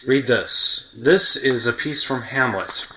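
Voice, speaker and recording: A man's voice: mid-range voice, slow pace, pushed and bright, rough recording. A man hosting a podcast, talking casually and explaining his opinions at length. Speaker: podcast host.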